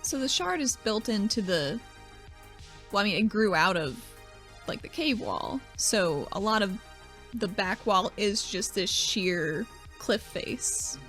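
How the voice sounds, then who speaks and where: A woman's voice: mid-range voice, expressive, slow pace, a bit bright, soft background music. Playful woman at a tabletop game. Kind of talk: casual improvised game chat.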